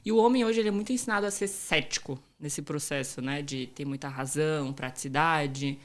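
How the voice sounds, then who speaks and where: A woman's voice: low-pitched, brisk. A woman hosting a podcast interview in a casual, upbeat, chatty way. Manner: upbeat, chatty, uses informal "tu". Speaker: podcast host.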